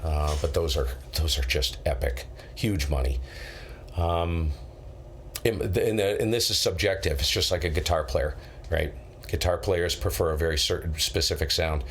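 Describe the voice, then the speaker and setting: A man's voice: a bass voice, moderate pace, a bit bright, a little hiss or hum. A middle-aged American man hosting a live stream, telling rambling anecdotes in a casual, conversational way and acting out bits of dialogue.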